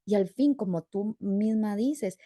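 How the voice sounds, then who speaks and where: A woman's voice: mid-range voice, unhurried, mellow, no echo, studio quality. A woman hosting an interview podcast, explaining at length and adding rhetorical questions as she goes. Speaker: podcast host. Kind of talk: warm interview chat.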